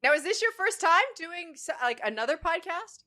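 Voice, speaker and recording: A woman's voice: very high voice, animated, moderate pace, sharp tone. A woman co-hosting a podcast in casual chat, giggly and effusive. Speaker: podcast co-host.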